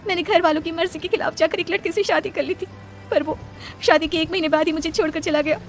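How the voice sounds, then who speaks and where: A woman's voice: very high-pitched, steady tone, quick pace, soft background music. A woman voicing a polite, plaintive young pregnant woman in a horror cartoon story. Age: young adult. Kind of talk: dramatic Hindi cartoon story dialogue.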